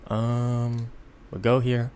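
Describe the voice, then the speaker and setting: A man giving a casual, unscripted software tutorial in an informal, halting, step-by-step way, with background noise. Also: mid-range voice, completely flat delivery. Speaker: tutorial presenter.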